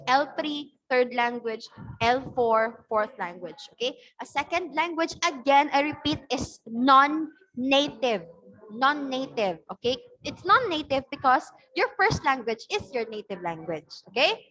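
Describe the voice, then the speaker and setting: Filipino teacher, woman, high voice, patient step-by-step lecturing on a video call, keeps asking "okay?". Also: a bit bright, poor audio. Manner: explains patiently, often checks with "okay?". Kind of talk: online class lecture.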